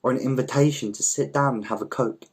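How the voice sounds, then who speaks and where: A man reading literary fiction aloud as a measured storyteller, with slight stumbles. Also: mid-range voice, fairly even intonation, breathy edge. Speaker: volunteer story reader.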